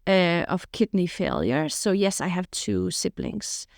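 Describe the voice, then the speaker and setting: A woman's voice: lowish voice, measured pace, vocal fry, no echo, clean studio sound. A middle-aged woman from Denmark, talking frankly and openly as a podcast guest about her past. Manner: frank, open, lively storytelling with frequent "you know". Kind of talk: candid interview storytelling.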